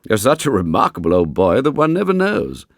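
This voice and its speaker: A man's voice: fairly low pitch, huge pitch swings, brisk, creaky, no echo, studio quality, voice pushed up, exaggerated voice. Man playing a genial, frank English doctor. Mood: hearty, teasing. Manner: hearty, frank bedside banter. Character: genial, frank male family doctor, teasing and reassuring.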